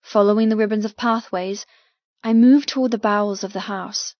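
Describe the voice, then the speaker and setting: A woman's voice: medium pitch, fairly even intonation, normal speed, no echo. Woman narrating fiction, dry muffled sound. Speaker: audiobook narrator. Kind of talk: scripted first-person fiction narration.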